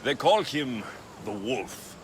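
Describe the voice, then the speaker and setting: An actor playing a brooding, determined young Greek mercenary in a video game, speaking in a terse, understated way. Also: male voice, mid-range voice, big pitch swings, slow, noisy background. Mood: businesslike. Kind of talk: video game cutscene acting.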